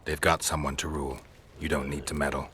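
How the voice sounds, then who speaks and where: Low-voiced, terse monster hunter. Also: male voice, quick pace, slightly rough, full character voice. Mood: cool, probing. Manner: terse, flat, unbothered. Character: gruff, laconic, world-weary male monster-hunting witcher. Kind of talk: video game dialogue acting.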